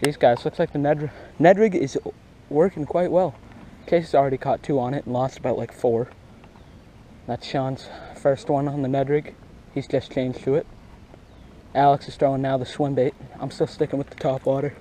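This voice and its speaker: Young dude vlogging his fishing, slangy n excitable, soft mellow tone, some outdoor noise on an action cam. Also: mid-range voice, normal speed. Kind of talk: casual outdoor fishing commentary. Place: outdoors beside a grassy pond. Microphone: body-worn action camera.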